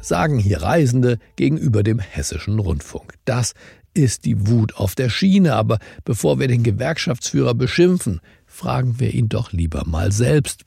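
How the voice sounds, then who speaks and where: Husky, creaky-voiced male news host. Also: medium pitch, dark tone, no room sound, studio quality. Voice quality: gravelly, husky. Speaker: host of a news briefing podcast. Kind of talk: scripted news narration and interview.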